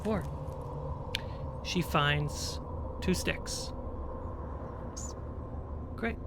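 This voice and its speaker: A game master narrating a tabletop game in a deliberate, halting way, pausing while setting the scene. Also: mid-range voice. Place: online livestream video call. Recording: clearly noisy background.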